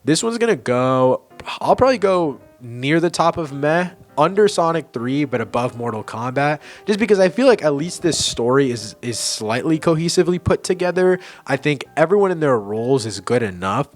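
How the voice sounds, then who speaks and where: A man's voice: a little high, animated, moderate pace. A man hosting a casual ranking video, giving blunt opinions in informal, slangy language over background music. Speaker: video host and amateur film reviewer.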